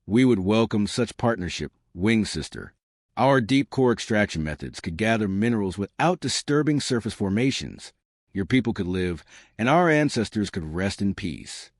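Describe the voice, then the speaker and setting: A man's voice: fairly low pitch, expressive, moderate pace, no echo, clean studio sound. A man voicing a luminous, welcoming crystal alien leader in a sci-fi story, formal and gracious. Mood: warm, welcoming.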